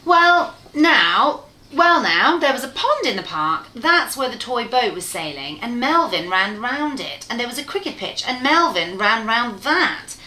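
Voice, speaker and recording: A woman reading a children's bedtime story aloud in an expressive, playful way, speaking directly to her listener. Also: a little high, measured pace, hardly any pauses. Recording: roomy, echoey room sound.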